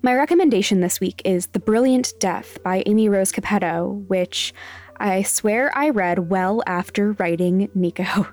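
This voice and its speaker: A woman's voice: medium pitch, a little slow, a little mellow. A woman hosting a podcast, reading scripted announcements aloud. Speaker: podcast creator and host.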